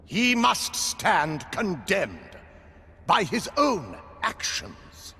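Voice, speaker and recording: A wounded, accusing nobleman in a period game. The voice is extremely breathy, slow and labored, with dramatic swings in pitch. It echoes in a large hall. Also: huge pitch swings, sharp tone, full character voice. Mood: cold, accusing. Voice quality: very husky, breathy. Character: wounded, accusing aristocratic lord, male. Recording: long hall reverb.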